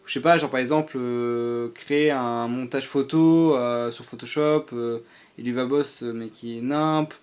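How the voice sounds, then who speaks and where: A man's voice: mid-range voice, steady tone, unhurried, a little mellow, clean tone. A young man co-hosting a casual live stream, hesitant and rambling as he thinks aloud. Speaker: streamer, co-host.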